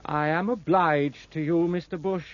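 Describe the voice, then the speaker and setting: An actor playing a stiff, secretive British first lieutenant with a formal, clipped delivery in a 1950s radio drama. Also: male voice, on the high side, fairly even intonation, measured pace, close to an everyday voice. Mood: curt, formal. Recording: dull, noisy old radio recording.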